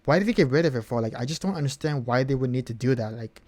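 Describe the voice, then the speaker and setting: A man's voice: mid-range voice, expressive, fast talker, a little mellow. Rambling male streamer, casual chat. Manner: rambling, repeats words, many fillers. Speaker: streamer taking song requests.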